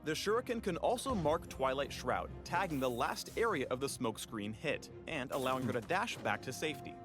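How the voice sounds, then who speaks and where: A man's voice: mid-range voice, sing-song, fairly quick, music in the background. A man reading scripted game tutorial narration in a polished, explanatory promotional delivery. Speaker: narrator of a champion spotlight.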